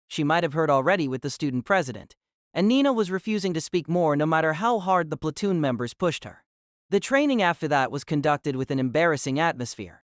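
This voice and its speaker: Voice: medium pitch, no room sound, clean studio sound. A narrator delivering scripted third-person narration in an audio drama.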